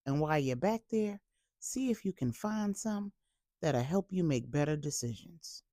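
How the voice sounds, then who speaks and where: A woman's voice: low voice, expressive, measured pace, warm tone, no room sound, clean studio sound. A woman voicing a stern father who scolds his grown daughter with cutting sarcasm in an audio story. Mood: sarcastic anger.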